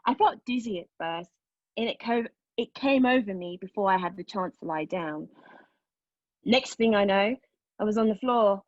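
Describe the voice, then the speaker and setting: An actress playing a haunted young woman in a dramatic stage monologue, recounting a painful memory in a halting, intense way. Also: medium pitch, no room sound, lo-fi sound, natural voice. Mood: reflective, uneasy. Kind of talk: dramatic monologue read at a table read of a play.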